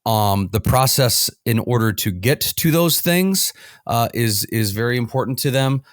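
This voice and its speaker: A man's voice: mid-range voice, animated, a little slow, no room sound, studio quality. A man explaining things conversationally as an expert guest on a panel.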